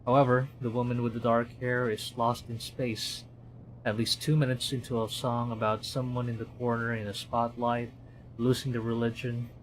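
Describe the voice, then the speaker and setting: A man's voice: mid-range voice, flat delivery, normal speed, slight background noise, poor audio. A man from the Philippines, a streamer reading a horror visual novel aloud in a dramatic storytelling manner, with commentary.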